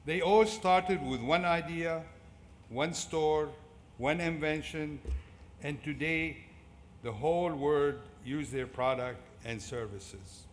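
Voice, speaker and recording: A middle-aged man from Syria with a Syrian Arabic accent, giving a prepared motivational commencement speech in deliberate short phrases. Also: a little high. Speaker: commencement speaker. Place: large indoor fieldhouse at a ceremony. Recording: echoey fieldhouse PA with clear background noise.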